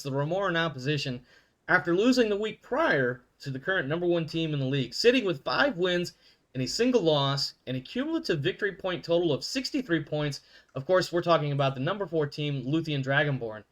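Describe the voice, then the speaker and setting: A man hosts an in-character sports league broadcast in a somewhat high voice. His pitch swings widely as he reads his intros, and he keeps fixing his own slips with quick asides. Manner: animated, self-correcting with quick asides. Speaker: show host. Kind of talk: in-character sports show hosting.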